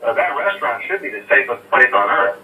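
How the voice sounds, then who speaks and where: An actor playing a casual, nervously reassuring restaurant employee leaving a hesitant, rambling message through a telephone filter in a video game. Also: male voice, medium pitch, sing-song, fairly quick, a loud crowd. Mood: awkward reassurance. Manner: hesitant, rambling, offhand, full of uhs. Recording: tinny, noisy phone line, distant and echoey.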